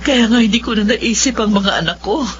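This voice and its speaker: A woman's voice: a little high, a little fast, slight background noise, lightly acted. An actress playing a betrayed middle-aged mother in a melodramatic, tearful radio drama. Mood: ashamed, remorseful. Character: betrayed middle-aged mother, emotional, enraged then remorseful. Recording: muffled radio drama with loud music.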